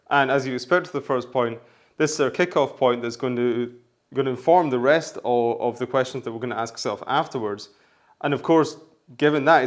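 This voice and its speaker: A man with a hoarse voice from a recent flu talks through his menswear vlog. His pitch sits in the middle range, and his delivery is chatty and rambling, full of little restarts and asides. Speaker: menswear vlogger. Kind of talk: casual vlog talk.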